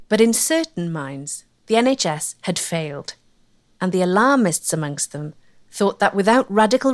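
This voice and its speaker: A woman giving measured, scripted documentary narration. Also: lowish voice. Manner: clear, measured storytelling.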